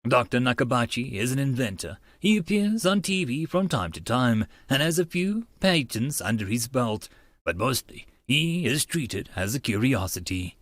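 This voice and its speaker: Guy narrating a visual novel, casual side comments, really animated, mid pitch, dry close mic. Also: normal speed, no echo. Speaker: audiobook narrator and channel host. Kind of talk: visual novel read-aloud with casual asides.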